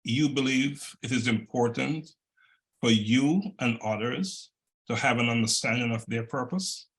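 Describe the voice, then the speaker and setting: A man's voice: mid-range voice, measured pace. A man hosting an interview, politely summing up the answers and prompting with follow-up questions. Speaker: interview host.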